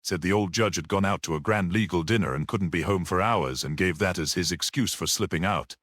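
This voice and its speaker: A man voicing a brisk, sceptical police detective in a mystery audiobook, businesslike and questioning. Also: sits low, fairly bright tone, no echo, studio quality. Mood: puzzled.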